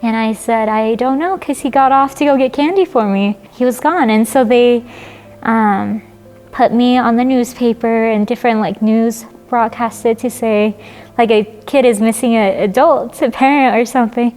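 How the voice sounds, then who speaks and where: Woman, twenties, from China; fairly high voice, halting with fillers, soft music underneath. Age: 20s. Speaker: interviewee. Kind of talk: personal testimony.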